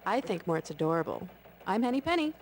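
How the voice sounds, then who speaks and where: A quick, chirpy cartoon hen anchor. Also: female voice, medium pitch, sing-song, a little hiss or hum. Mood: sweet, admiring. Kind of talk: mock TV news banter.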